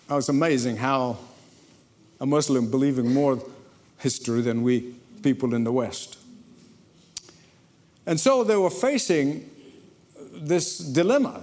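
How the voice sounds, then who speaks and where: A man from Egypt, preaching a sermon to a congregation in lively storytelling, often repeating words as he starts a sentence. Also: mid-range voice. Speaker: pastor. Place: church before a congregation.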